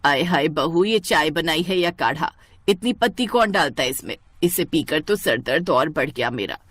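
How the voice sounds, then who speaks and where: A bossy middle-aged cartoon mother-in-law, put on by a female narrator. She nags and scolds in a lowered voice with lively swings, sharp-tongued and grumbling, recorded dry and close. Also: normal speed, dry recording. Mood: scolding.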